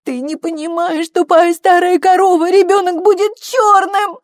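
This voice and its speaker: A woman's voice: extremely high pitch, moderate pace, a little mellow, dry recording, studio quality, pitched above the performer's own voice. A woman voicing a hysterical young woman in labour, screaming and pleading desperately in an audiobook. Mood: furious screaming. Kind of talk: audiobook character acting.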